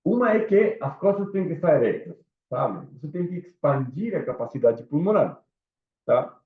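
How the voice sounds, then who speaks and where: A man's voice: a little high, sing-song, normal speed, narrow, tinny audio. Chilean guy teaching a class in portuguese, spanish accent, friendly lecture, echoey room, phone-ish sound. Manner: casual, engaging, asks the audience questions. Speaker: instructor. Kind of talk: live lecture to a small group.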